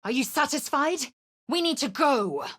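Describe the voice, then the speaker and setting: A woman's voice: high voice, lively intonation, normal speed, a bit bright, dry recording. An actress playing a bold, sardonic female spellcasting adventurer in a video game, with a wry, punchy, theatrical delivery. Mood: indignant, urgent. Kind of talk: scripted video game character lines.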